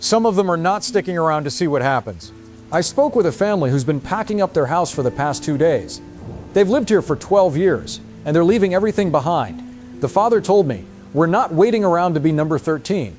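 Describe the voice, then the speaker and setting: Male field reporter, acted for audio drama: earnest, emphatic, slightly high voice, light music bed. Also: animated, brisk, darkish tone, lightly acted. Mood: earnest, grave. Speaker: news reporter.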